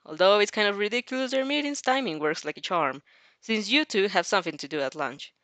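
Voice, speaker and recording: A woman's voice: medium pitch, lively intonation, brisk, fairly bright tone, dry recording. A woman hosting a fan fiction reaction, reading aloud expressively and breaking into casual, rambling, giggly asides. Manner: rambling, giggly, often interrupts herself with asides.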